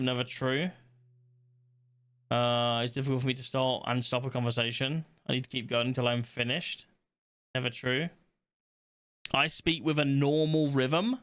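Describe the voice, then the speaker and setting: A man's voice: mid-range voice, fairly bright tone, clean tone. A young man, casually chatting to his stream audience as he reads out questions, blunt and swearing now and then. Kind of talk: casual livestream chat, reading test questions aloud.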